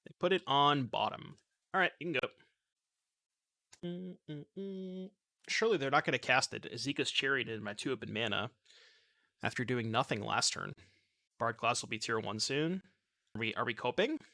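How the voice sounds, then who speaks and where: A man's voice: mid-range voice, normal speed, long pauses, sharp tone. A man in his thirties streaming a card game from his home setup, chatting and joking with his chat while he plays, using a desk mic. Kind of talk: casual gameplay commentary reading chat. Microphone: desk mic with webcam.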